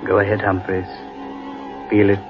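A man playing an earnest, uneasy gentleman adventurer in 1940s radio horror, speaking with dramatic gravity. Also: fairly low pitch, completely flat delivery, moderate pace, close to an everyday voice. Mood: awed, fearful. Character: Danvers, uneasy gentleman adventurer, grimly telling a legend. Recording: muffled old radio recording, music behind.

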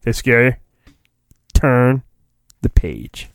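A man's voice: mid-range voice, big pitch swings, very slow, vocal fry, dry recording. A man hosting a podcast, giving a mock-dramatic reading of a children's storybook and breaking off into cheeky, joking asides. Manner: theatrical, juvenile innuendo, breaks off into asides. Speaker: podcast host.

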